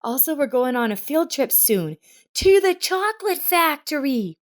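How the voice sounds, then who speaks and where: Woman voicing a high-pitched, earnest little girl. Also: very animated, unhurried. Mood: excited, happy. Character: anxious, bitter little girl who later brims with excitement.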